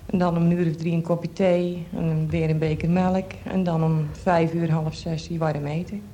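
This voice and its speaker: A woman explaining casually, haltingly listing things item by item. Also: lowish voice, flat delivery, warm tone, a little hiss or hum. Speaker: programme guest.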